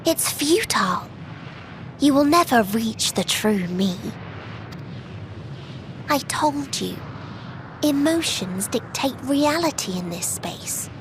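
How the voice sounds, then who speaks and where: A woman playing a cold, despairing ruler in a video game cutscene, speaking formally and deliberately. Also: a little high, noisy background. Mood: cold, dismissive.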